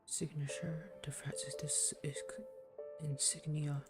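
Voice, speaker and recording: A woman's voice: low voice, flat delivery, slow pace. A woman streaming a game, commenting casually and reading on-screen text aloud. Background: game music.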